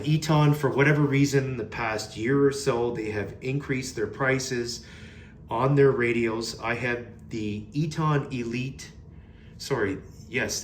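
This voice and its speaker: A man's voice: mid-range voice, slight background noise. A man giving a casual, unscripted product review in a plain-spoken, mildly critical way. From Canada.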